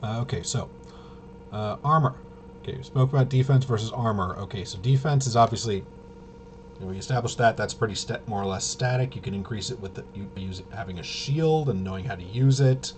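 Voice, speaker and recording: Low-voiced man, casual, over clear noise. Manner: halting, mumbling through half-read rules. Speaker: tabletop game reviewer. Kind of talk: casual off-the-cuff rules walkthrough. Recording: clearly noisy home recording.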